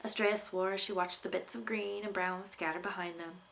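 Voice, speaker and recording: A woman's voice: medium pitch, fairly even intonation, fairly quick, creaky, a bit bright. Woman reading a story expressively. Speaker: author reading from own novel. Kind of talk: expressive scripted story narration.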